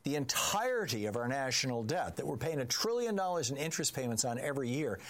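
Man, older, radio talk host, emphatic, opinionated, mid-pitched voice. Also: very animated, fast. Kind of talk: talk radio monologue and call-in.

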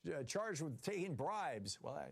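A man's voice: a little high, sing-song, a little slow, gravelly, breathy edge. An older man hosting a call-in radio show, giving earnest, indignant political commentary.

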